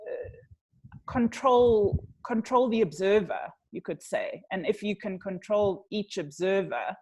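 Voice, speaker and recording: A middle-aged woman interviewing on a video call, speaking tentatively and pausing mid-sentence. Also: lowish voice, warm tone, low-quality recording. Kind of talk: conversational interview questioning. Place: small indoor room. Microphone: earphone headset on video call.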